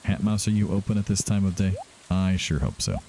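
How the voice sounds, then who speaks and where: A man's voice: low-pitched, a little fast, warm tone, a little hiss or hum. A man doing casual let's-play commentary as a gaming host, chatting to himself and to the game's characters. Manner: talks to himself and to game characters.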